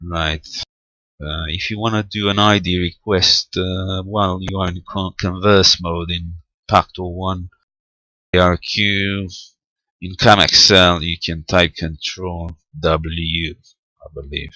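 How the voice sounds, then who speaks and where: A low-voiced man walks through a technical demonstration step by step in a very crisp, bright tone. He is unscripted and a little hesitant, thinking aloud as he works. The recording is close and dry. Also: steady tone, slow pace, dry recording, rough recording. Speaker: amateur radio tutorial presenter.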